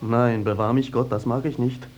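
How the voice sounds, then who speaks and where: An actor playing a hot-headed, jilted young peasant bridegroom, declaiming indignantly in a 1950s German radio play. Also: male voice, mid-range voice, fairly even intonation, normal speed, darkish tone, muffled recording, lightly acted. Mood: bitter, indignant.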